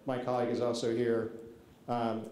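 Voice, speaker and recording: Man with a mellow voice in an echoey hall. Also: mid-range voice, completely flat delivery, moderate pace. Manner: informal, many fillers, polite requests. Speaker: meeting facilitator. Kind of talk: public meeting guidelines. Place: large public meeting hall. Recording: echoey meeting hall, faint noise.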